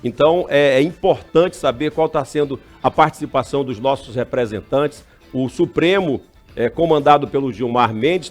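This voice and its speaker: A man argues on a talk show in a blunt, emphatic way. His voice sits a little high for a man, and his indignation comes through in every point he makes. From Amazonas, Brazil. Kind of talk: heated opinion debate.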